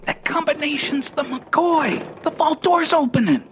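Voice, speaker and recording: Man playing a high-pitched jittery crook's accomplice. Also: a little slow, background noise. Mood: excited, urgent. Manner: excited, slangy. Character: excitable, jittery safecracker's accomplice. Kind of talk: old-time radio crime drama acting.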